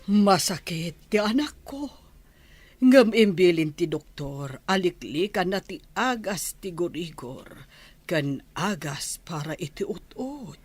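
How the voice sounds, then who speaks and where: Concerned village mother, lively swooping pitch. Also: takes it slowly, husky, no room sound, soft background music, heavily acted. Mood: concerned, requesting. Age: middle-aged. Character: concerned, insistent village mother of a grown son. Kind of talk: Ilocano radio drama acting.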